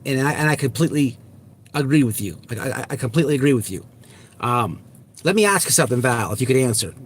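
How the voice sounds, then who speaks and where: A man hosting an unscripted livestream, talking bluntly and repetitively to his viewers, with halting false starts. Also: mid-range voice, fairly bright tone. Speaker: livestream host.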